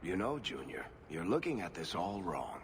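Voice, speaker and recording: A wry dwarf for a fantasy video game. His low voice swings expressively as he teases and spins his point like a storyteller. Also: big pitch swings, moderate pace, background noise. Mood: wry teasing. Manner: teasing, sardonic, storytelling. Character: wry dwarf man, teasing, self-styled professional younger brother.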